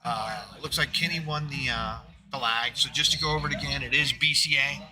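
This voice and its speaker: A man calls a live pool match from a booth beside the tables. He talks in a loose, rambling way, full of fillers and restarts, with a voice of middling pitch. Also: steady tone, a little fast, crowd noise. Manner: rambling, filler-heavy, talks of his loud voice. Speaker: pool commentator. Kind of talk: live stream commentary.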